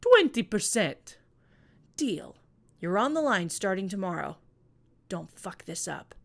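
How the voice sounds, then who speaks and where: A woman's voice: medium pitch, very animated, slow, vocal fry, full character voice. A woman voicing a tough young female prison boss, curt, cool and menacing, in a comic story reading. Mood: smug bargaining.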